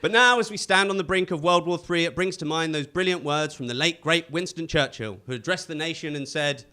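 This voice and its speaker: A man's voice: fairly high pitch, a little fast, pushed and bright, clean tone. A man hosting a comedy panel show, reading scripted jokes to a live audience with punchy timing.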